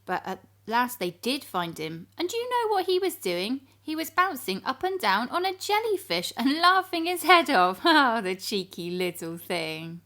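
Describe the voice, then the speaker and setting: A woman reading a storybook to young children in an animated, expressive manner, addressing her listeners directly. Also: a little high, normal speed, few pauses.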